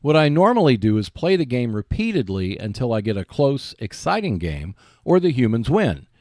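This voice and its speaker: A man hosting a solo podcast about board games, speaking in measured phrases with emphatic stresses. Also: mid-range voice, sing-song, darkish tone, dry recording, clean studio sound. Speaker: podcast host.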